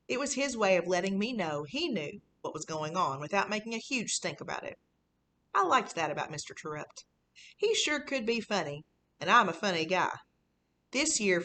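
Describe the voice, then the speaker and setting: A woman's voice: mid-range voice, fairly bright tone, close to an everyday voice. A woman reading a children's book in the voice of a sneaky, boastful fifth-grade boy, telling his story casually and conspiratorially. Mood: impressed, pleased. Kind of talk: first-person children's audiobook reading.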